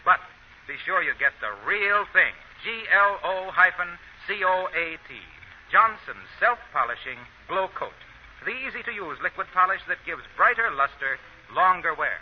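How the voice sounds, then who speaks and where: A man's voice: high voice, animated, moderate pace, lo-fi sound. A man reading a 1930s radio advertisement as a polished, salesmanlike announcer. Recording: noisy, band-limited 1930s radio recording.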